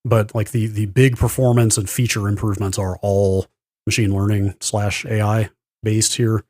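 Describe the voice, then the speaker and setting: Low-voiced man explaining tech. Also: little pitch movement, moderate pace, darkish tone. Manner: explanatory, hedging and qualifying. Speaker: podcast host. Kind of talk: casual tech news discussion.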